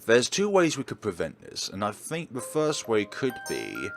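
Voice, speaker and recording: A man's voice: medium pitch, huge pitch swings, moderate pace, over music. A man hosting a let's play, narrating and commenting on a visual novel in a theatrical, emphatic way. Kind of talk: dramatic game narration and commentary.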